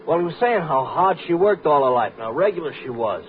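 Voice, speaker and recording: A man acting a wistful, smitten, hesitant sidekick in 1950s radio style, halting and trailing off, on an old recording. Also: a little high, big pitch swings. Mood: smitten, sheepish. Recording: tinny, faintly noisy old radio recording.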